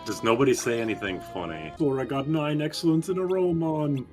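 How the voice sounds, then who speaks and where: A man's voice: mid-range voice, a little fast. A man doing casual, joking game commentary with crude, sweary language. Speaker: gaming stream co-commentator. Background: game music.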